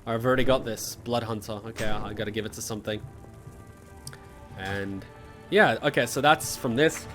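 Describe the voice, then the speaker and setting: A man's voice: fairly low pitch, moderate pace, fairly bright tone. Rambling self-mocking man. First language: Serbian. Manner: rambling, self-deprecating, swears casually. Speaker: gaming guide creator. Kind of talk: casual gameplay commentary. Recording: music playing behind voice.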